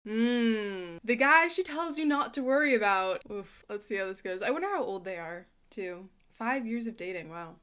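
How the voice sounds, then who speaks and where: A woman's voice: medium pitch, animated, moderate pace, few pauses, fairly bright tone, clean tone. A chatty woman giving casual, opinionated reaction commentary, thinking aloud as she goes. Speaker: reaction video host.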